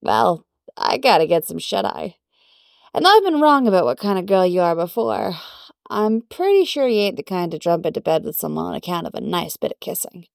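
A woman's voice: fairly low pitch, very animated, normal speed, gravelly, no room sound. Brash young American working-class woman, voiced by a female narrator, slangy, fond and teasing, dry close sound. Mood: frank, fond.

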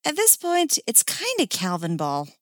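A woman voicing a playful, excitable young woman in a story, casual and boisterous. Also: on the high side, a little slow, no room sound, clean studio sound, voice pushed up. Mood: casual admission.

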